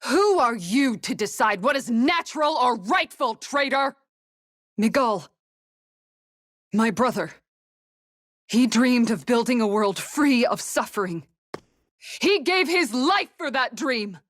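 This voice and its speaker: A woman's voice: on the high side, animated, slow, long pauses, fairly bright tone, no room sound, clean studio sound, exaggerated voice. An actress playing a stern, righteous warrior woman in a video game, speaking with earnest intensity. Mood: anguished rage. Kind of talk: dramatic video game acting.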